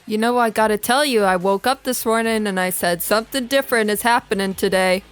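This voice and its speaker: Woman as chummy stockbroker pal, smooth clear voice, middling female pitch, casual comedy table read. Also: brisk, faint music behind, lightly acted. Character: chummy male stockbroker buddy.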